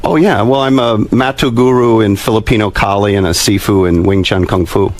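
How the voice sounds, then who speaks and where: A man's voice: on the low side, steady tone, fairly quick. A middle-aged man speaking as an expert radio guest, explaining things in a blunt, assertive way. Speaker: expert guest, profiler and security professional. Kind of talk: explanatory radio interview talk. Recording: music mixed under the voice.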